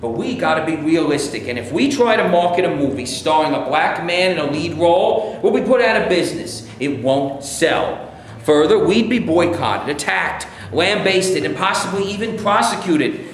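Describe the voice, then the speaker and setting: Play reading in a reverberant hall, noisy audio: male reader as defensive film executive, higher-pitched, firm and matter-of-fact. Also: animated, moderate pace, close to an everyday voice. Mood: insistent. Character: hard-nosed, defensive male movie studio boss. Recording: echoey live room, distant camera, noisy.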